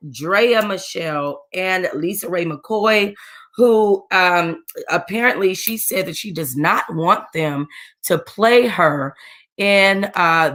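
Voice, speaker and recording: Female online host, lower register, unhurried delivery, informal and chatty. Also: fairly bright tone. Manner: informal, thinking aloud. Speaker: online book club host and channel creator. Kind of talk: casual livestream-style chat to followers.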